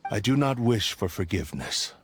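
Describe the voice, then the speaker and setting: A man's voice: on the low side, sing-song, normal speed, a little hiss or hum. A man voicing a remorseful fallen swordsman in a video game, speaking in a solemn, old-fashioned, pleading way.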